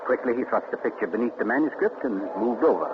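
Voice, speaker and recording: An actor playing an urbane, theatrical mystery writer who tells his tale with deliberate, dramatic pauses in 1940s radio style. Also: mid-range voice, expressive, natural voice. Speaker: actor and narrator. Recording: muffled, noisy 1940s radio recording.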